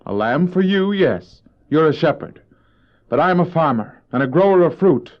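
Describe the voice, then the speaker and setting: An actor playing Cain, a proud, resentful farmer and elder brother, in a theatrical, argumentative manner for a religious audio drama. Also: male voice, fairly high pitch, animated, normal speed, lightly acted. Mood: defiant. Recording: dull old cassette audio drama.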